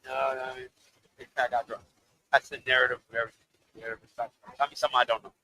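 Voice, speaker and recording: A deep-voiced man, a rapper guest, talks loosely and laughs as he goes. His voice carries a gravelly fry with a sharp, forceful edge. He swears freely in easy, casual chat. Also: flat delivery, rapid-fire, poor audio.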